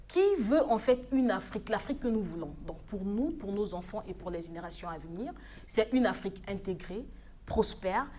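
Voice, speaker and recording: A woman's voice: medium pitch, a little mellow. A woman in her thirties from the Republic of the Congo, speaking as a studio guest on a TV news program in an earnest, exhorting way with hesitant restarts. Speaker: studio guest, African Union official.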